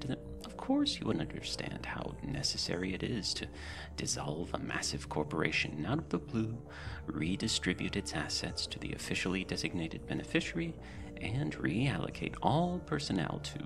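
ASMR roleplay: male actor as dystopian mind-care technician, gravelly, politely patronising and bureaucratic, music underneath. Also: sits low, full character voice. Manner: politely condescending, bureaucratic, with murmured asides. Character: smoothly sinister, falsely reassuring dystopian mental-care technician. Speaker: roleplay performer. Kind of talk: ASMR roleplay, soft clinical patter.

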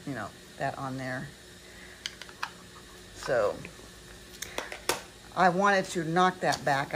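An older woman chatting casually and rambling a little as she talks through a craft demonstration at home in her kitchen. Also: low voice. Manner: rambling, chatty, self-correcting. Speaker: craft tutorial host. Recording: clearly noisy home recording with clicks.

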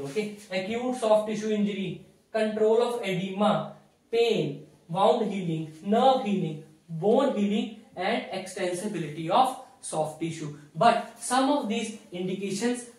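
A man in his thirties with an Indian accent giving a didactic whiteboard lecture in short, repeated phrases. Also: high-pitched. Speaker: physiotherapy lecturer. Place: small indoor classroom at a whiteboard. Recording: very echoey room, distant microphone.

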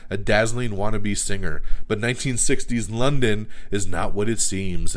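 A man hosting a podcast, giving a casual, frank and opinionated movie review that rambles with restarts. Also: on the low side.

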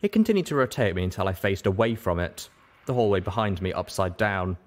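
A man's voice: low-pitched, expressive, a little fast. A man reading a first-person story aloud as scripted narration. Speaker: audiobook reader.

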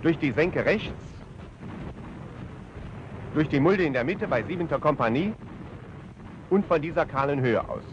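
A stern wartime commander who briefs his officers in clipped, formal orders, his voice fairly high and swinging widely in pitch. The sound comes from a dull 1940s film soundtrack with a faint hiss behind it. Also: lively intonation, measured pace, long pauses. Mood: commanding. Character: stern male German army commander issuing orders.

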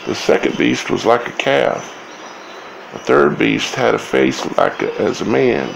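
A man giving informal scripture commentary as a video host, speaking in halting, broken phrases with long pauses. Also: mid-range voice, vocal fry, slight background noise, low-quality recording.

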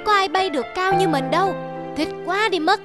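Woman doing a very high, haughty cartoon eagle. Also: a little fast. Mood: smug. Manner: arrogant, sneering, taunting. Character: big boastful, haughty, bullying male eagle. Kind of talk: animated fable voice acting. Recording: loud music behind the voice. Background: music.

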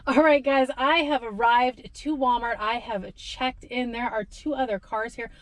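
A woman's voice: a little high, steady tone, a little slow, a little hiss or hum, rough recording. A woman in her thirties, a delivery driver, chatting casually to camera from inside her parked car, talking with her hands. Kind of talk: casual vlog narration. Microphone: camera on the dashboard.

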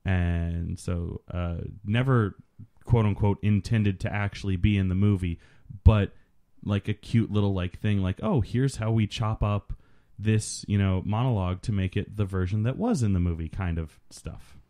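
Male podcaster, lowish voice, casual movie commentary, rambling and nerdy in his explanations. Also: normal speed, warm tone. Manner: rambling, geeky explaining, profane. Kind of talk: casual film commentary chat.

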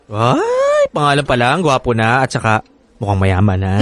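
Campy pinoy best friend, sassy and teasing, voice jumps all over. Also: male voice, mid-range voice, huge pitch swings, normal speed, fairly bright tone, dry recording. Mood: teasing, excited. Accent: Filipino. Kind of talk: Filipino radio drama acting.

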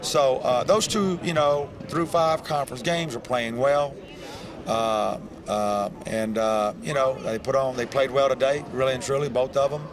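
An older man, a basketball head coach, answering post-game questions courtside in a calm, halting way with many restarts. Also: medium pitch. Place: indoor basketball arena, courtside. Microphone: handheld reporter mic. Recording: crowd noise in a large arena. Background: arena crowd.

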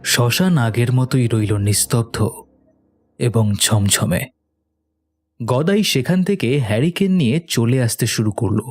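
A man's voice: mid-range voice, measured pace, pauses often. A man narrating a scripted horror cartoon story in a dramatic storytelling style. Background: faint music.

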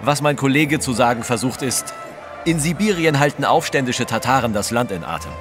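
Actor as a proper, animated newspaper reporter. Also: male voice, mid-range voice, fairly quick, music in the background, natural voice. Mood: brisk, competitive. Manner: formal, polite, slightly proper. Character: courteous, competitive English newspaper reporter, somewhat stiff. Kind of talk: German audio drama acting.

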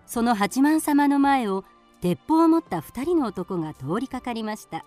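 A woman's voice: on the high side, lively intonation, normal speed, a little mellow, soft background music. A woman reading scripted storybook narration for a radio drama.

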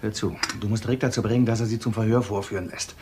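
An actor playing a dogged, impatient police detective in a German crime radio drama, pressing with clipped questions. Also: male voice, on the low side, steady tone, fast talker, a little mellow, lightly acted. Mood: determined. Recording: radio drama with background noise.

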